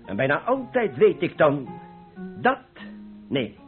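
Hearty painter, lively high-ish voice. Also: male voice, slow, exaggerated voice. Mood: musing, earnest. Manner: hearty, colloquial, blunt. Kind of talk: Dutch radio play acting. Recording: muffled old radio recording, faint music.

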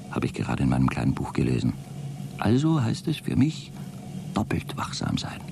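An actor playing an aggrieved, suspicious space traveller dictating a pompous, wordy log in a radio drama. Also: male voice, rumbling low, gravelly, background noise, heavily acted. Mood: guarded, haughty. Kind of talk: radio drama monologue, intimate log entry.

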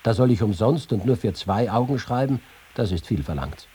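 A man's voice: fairly low pitch, animated, normal speed, dark tone, breathy edge. An actor voicing a wry young reporter-writer reading his own letters and memories in a radio feature. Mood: wry complaint.

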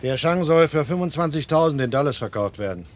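An actor playing a weary, resigned art forger in a German crime radio play, terse and matter-of-fact. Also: male voice, a little high, expressive, a little fast, lightly acted. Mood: resigned, parting. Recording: vintage radio play, faint engine-like noise.

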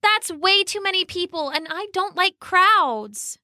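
A woman voicing a shy, polite female tortoise for a children's story, gentle but firm. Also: very high-pitched, animated, a little slow, no echo, clean studio sound, voice pushed up, exaggerated voice. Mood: firm, unbudging.

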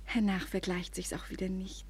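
An actress playing a tender, adoring young woman in love, in a 1940s German radio play, with a soft, fervent delivery that often sinks to a whisper. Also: medium pitch, flat delivery. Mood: shy, tender. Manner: slow, fervent, theatrical delivery. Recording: old, husky-sounding 1940s radio recording.

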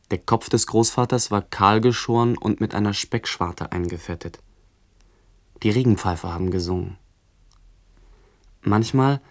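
Male actor as man reliving rural boyhood, lowish voice, deliberate, descriptive, literary radio play monologue. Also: close to an everyday voice.

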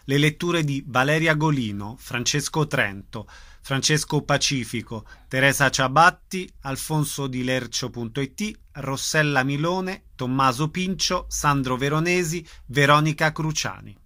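Bright, dry male announcer. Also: mid-range voice, a little slow, no echo. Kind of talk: scripted promo reading of a list of names.

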